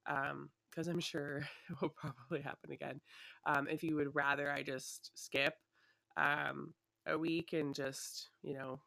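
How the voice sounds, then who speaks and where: Young woman rambling on her podcast, kinda sniffly, bright voice, dry close mic. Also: low-pitched, level tone, a little slow, creaky, no echo. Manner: chatty and rambling, many fillers, laughs lightly. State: slightly sniffly from a cold. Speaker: podcast host. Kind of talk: casual solo podcast chat. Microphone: her own desktop microphone.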